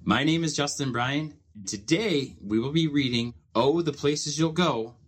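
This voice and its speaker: A man reading a children's book aloud as host, with measured phrasing and expressive emphasis. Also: on the high side. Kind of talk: storybook read-aloud.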